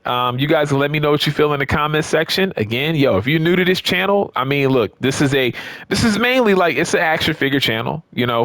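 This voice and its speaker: A man's voice: mid-range voice, a bit bright, dry recording. A man talking casually and off the cuff to his channel's viewers, rambling conversationally and repeating phrases for emphasis. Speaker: YouTube host of a wrestling game and action figure channel. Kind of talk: casual unscripted chat to viewers.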